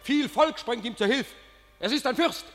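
An actor playing an excitable soldier lookout in a classical radio drama, calling out a battle report. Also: male voice, very high-pitched, moderate pace, faint music behind. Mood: tense report. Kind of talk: classical German stage-style radio drama. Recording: long hall reverb.

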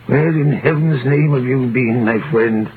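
An actor playing a gossipy, cynical middle-aged tailor in a 1930s radio drama, with a wry, knowing manner. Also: male voice, medium pitch, takes it slowly, natural voice. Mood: wry, scornful. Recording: scratchy, narrow-band 1930s radio recording.

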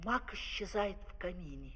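A man's voice: high-pitched, expressive, moderate pace, pushed and bright, husky. A man narrating the stage action of a children's opera as scripted storytelling. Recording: reverberant vintage vinyl record.

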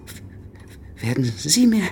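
A man's voice: fairly high pitch, sing-song, creaky, warm tone, slight background noise, heavily acted. An actor playing a shaken, grieving man who stammers and pleads, in a radio drama. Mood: stunned pleading. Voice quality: halting, stammering. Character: shaken, grieving male prisoner-to-be, halting, pleading.

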